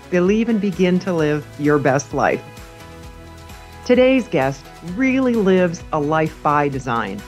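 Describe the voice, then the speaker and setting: A woman hosting a podcast, reading an upbeat scripted introduction and then asking interview questions. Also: medium pitch. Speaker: podcast host.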